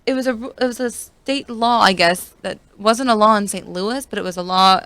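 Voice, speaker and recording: Young female, upbeat and agreeable casual talker, mid-range pitch, dry close recording. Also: moderate pace, a bit bright, clear, resonant tone, dry recording. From United States. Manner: enthusiastic, agreeable. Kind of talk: casual chat.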